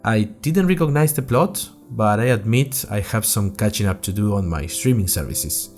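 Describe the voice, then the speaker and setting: Young male student journalist played by a male actor, animated and wry, chatty podcast storytelling with music underneath. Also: lowish voice, normal speed. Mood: chatty, curious. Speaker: fictional podcast host and narrator. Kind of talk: scripted first-person audio drama narration in chatty podcast form.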